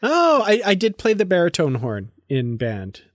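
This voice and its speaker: Male co-host for casual podcast chat, moderately high pitch, informal and keen, very dry sound. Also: wildly swooping pitch, moderate pace, no echo.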